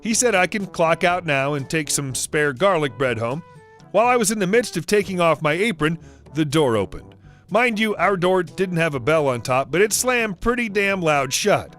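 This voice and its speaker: High-voiced male story narrator. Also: lively intonation, normal speed, a bit bright, soft background music. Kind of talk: scripted narration of online stories.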